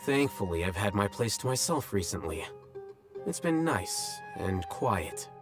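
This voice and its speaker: An actor playing an aloof, pragmatic young scholar in a video game, with formal, measured, deadpan delivery. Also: male voice, fairly low pitch. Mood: calm. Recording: music under the voice.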